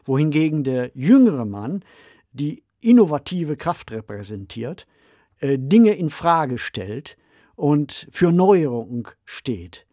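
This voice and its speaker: A man co-hosting a podcast discussion, speaking in a measured, formal, explanatory manner. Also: a little high, big pitch swings, warm tone, no room sound, clean studio sound. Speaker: podcast co-host. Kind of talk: discussion of fiction and ideas.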